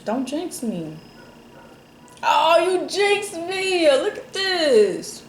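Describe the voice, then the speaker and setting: A woman's voice: sits high, big pitch swings, extremely slow. A woman from Jamaica chatting casually while eating, rambling with lots of fillers and telling stories. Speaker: mukbang host. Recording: roomy with faint noise.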